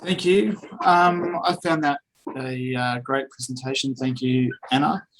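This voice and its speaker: A financial advisor on a video call, speaking reflectively with many fillers. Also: male voice, mid-range voice, steady tone, a little slow, fairly bright tone, poor audio. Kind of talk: casual video-call feedback.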